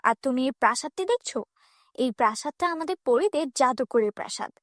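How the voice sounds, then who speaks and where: A woman's voice: high-pitched, lively intonation, brisk, a bit bright, no room sound, clean studio sound. A woman voicing a commanding but caring female fairy who questions with authority in a cartoon. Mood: stern. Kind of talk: animated fairy-tale cartoon.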